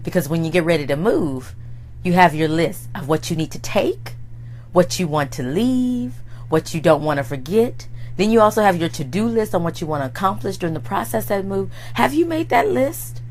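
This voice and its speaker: A woman with a low, hoarse voice hosts a live motivational broadcast. She calls out viewers by name and her voice jumps up in bright, excited exclamations. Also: moderate pace, slight background noise. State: hoarse, deeper voice than usual. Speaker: host and life coach. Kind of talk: live-streamed motivational talk.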